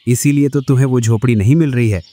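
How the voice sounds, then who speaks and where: A man's voice: medium pitch, fast, mellow, a little hiss or hum, natural voice. A man playing a helpful, gossipy villager in a cartoon moral story, with a folksy, chatty manner. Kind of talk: Hindi animated moral story dialogue.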